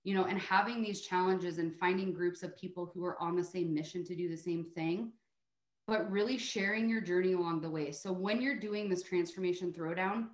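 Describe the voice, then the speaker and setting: Female guest speaker, American, lowish pitch, encouraging testimonial style, roomy call sound. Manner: encouraging, emphatic, often adds "right?". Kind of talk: motivational testimonial, conversational. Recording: roomy, echoey call audio.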